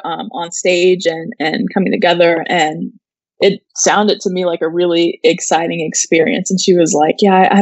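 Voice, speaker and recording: A woman's voice: fairly low pitch, no room sound. Chatty American woman, dry close sound. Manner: chatty, peppers talk with "like". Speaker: co-host of an entertainment news show. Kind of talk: casual chat.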